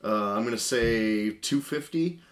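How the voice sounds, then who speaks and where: A man, casually telling wry comic anecdotes in a halting way as a podcast guest. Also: mid-range voice. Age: mid 30s. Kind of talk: casual chat.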